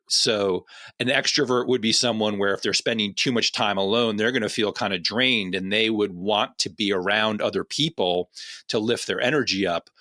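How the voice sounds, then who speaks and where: A man's voice: fairly low pitch, pushed and bright, dry recording, studio quality. A man hosting a podcast as a coach, chatting casually, often restarting sentences and asking long rambling questions. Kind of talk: casual conversational interview.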